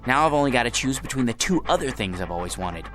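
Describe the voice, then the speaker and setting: An actor playing a timid, plaintive husband of a movie star in a radio drama. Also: male voice, mid-range voice, animated, quick pace, noisy background, close to an everyday voice. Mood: wistful. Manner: timid, plaintive, self-pitying. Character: meek, lovesick husband of a star.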